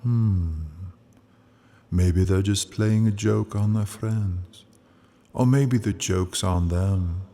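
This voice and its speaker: Deep, sinister radio mystery host. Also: male voice, takes it slowly, dark tone. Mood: sly, ominous. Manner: theatrical, teasing, ominous. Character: sly, sinister male narrator of an old-time mystery show. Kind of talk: old-time radio horror hosting. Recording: music under the voice. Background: spooky music.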